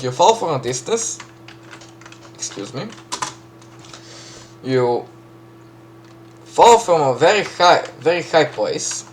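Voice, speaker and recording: A man with a Serbian accent casually walking through a game map he built, chatty, full of fillers and quick self-corrections. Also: mid-range voice, slight background noise. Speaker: map creator giving a tour. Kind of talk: casual gameplay walkthrough.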